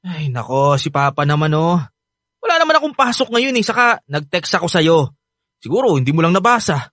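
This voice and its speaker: Radio drama role: defiant Filipino teen son, whiny, swinging pitch, close dry sound over music. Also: male voice, very animated, a little fast, fairly bright tone, dry recording. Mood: groggy, annoyed. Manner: whiny, argumentative, defensive. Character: rebellious, sulky sixteen-year-old boy, hurt by his father. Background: background music.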